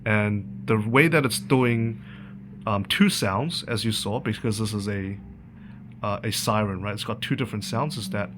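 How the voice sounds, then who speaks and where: A man giving an informal, unscripted technical explanation as a tutorial presenter, halting and thinking aloud as he goes. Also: mid-range voice, background noise.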